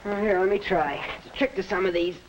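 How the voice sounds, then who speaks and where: A young man playing an outgoing, helpful American high school boy in a 1940s educational film, upbeat and friendly. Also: fast, highs cut off, lightly acted. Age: teenager. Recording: noisy restored 1940s film soundtrack.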